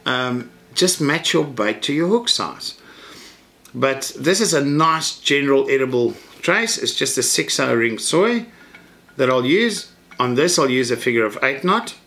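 A man's voice: medium pitch, expressive, measured pace, a bit bright. A middle-aged man, teaching a fishing rig step by step in a practical, matter-of-fact way from an indoor tackle room. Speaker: fishing instructor. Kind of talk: hands-on tutorial.

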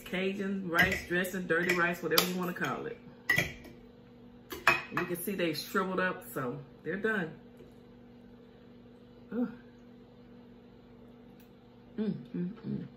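A middle-aged woman, chatting casually as she eats and hums over her food while hosting a home cooking show. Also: fairly low pitch. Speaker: mukbang cooking show host. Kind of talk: casual chat while eating. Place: home kitchen, indoors. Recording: echoey room with faint hiss.

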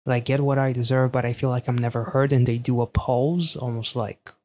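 A man in his thirties speaks at a medium pitch as the host of a reaction video. His commentary is casual and halting, with emphatic stress as he thinks aloud. He is close to a studio microphone in a small indoor space. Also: fairly even intonation, a little mellow. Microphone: close studio microphone on a stand.

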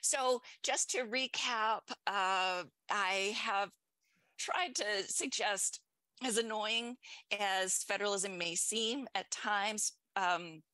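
A woman in her forties wrapping up an academic presentation on a video call, animated and using fillers. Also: mid-range voice, takes it slowly, dry recording. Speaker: panelist, speaker. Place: indoor room, video call. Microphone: headset.

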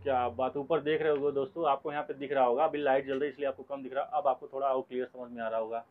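A man's voice: medium pitch, fairly even intonation, fast talker, narrow, tinny audio. A man hosting a promotional product showcase, talking in effusive, repetitive sales patter. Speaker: host presenting products at a wholesale shop. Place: small cluttered shop, indoors.

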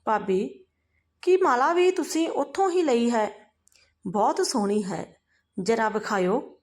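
A cheerful, forward young sister-in-law in a narrated family story, acted by a woman storyteller. She talks in a chatty, inquisitive way, high and lively in pitch. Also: takes it slowly. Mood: admiring.

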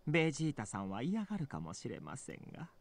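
A man's voice: mid-range voice, huge pitch swings, racing, creaky, exaggerated voice. A male actor voicing a polite, serene, elegant angelic attendant in an anime, with a genteel singsong manner. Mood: politely breezy. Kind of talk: Japanese anime voice acting.

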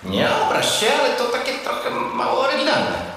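Small naive creature, high voice, animated pitch, inquisitive, children's audio drama. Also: male voice, moderate pace, heavily acted. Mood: puzzled. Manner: inquisitive, stumbles over big words. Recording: echoey, reverberant cave effect, faint noise.

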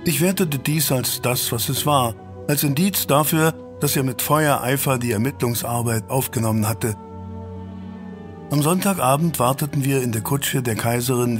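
A loyal, genteel doctor in an audio drama, played by a man. He speaks in a middle register with a measured, mild delivery. Music is clearly audible underneath. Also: mid-range voice, lightly acted. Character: steady, genteel doctor, the detective's loyal companion and narrator. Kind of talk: German audio drama, narration and dialogue.

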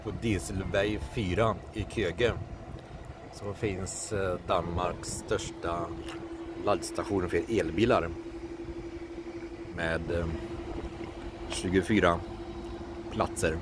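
A Swedish man narrating a casual road-trip vlog, relaxed and hesitant with many fillers. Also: sits low, long pauses. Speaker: vlogger on a car road trip. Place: electric car on the road and at charging stops. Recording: clear car and road noise.

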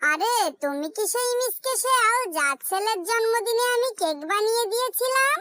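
A woman's voice: very high voice, normal speed, music in the background. A woman voicing a small, honest bird who bakes, with an earnest, childlike manner in a children's cartoon. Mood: cheerful. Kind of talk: children's animated cartoon story dialogue.

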